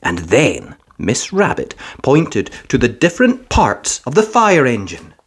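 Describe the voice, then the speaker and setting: Animated woman reading a children's story. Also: very deep voice, a little slow, husky. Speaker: storybook narrator. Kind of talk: expressive children's read-aloud narration.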